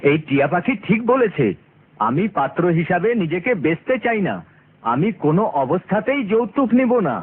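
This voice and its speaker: A man's voice: sits high, sing-song, normal speed, slightly rough, heavily acted. A man voicing an upright, sensible villager in a cartoon, with a Bangladeshi accent. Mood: approving, firm. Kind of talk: animated cartoon dialogue. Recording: muffled lo-fi cartoon audio, music behind.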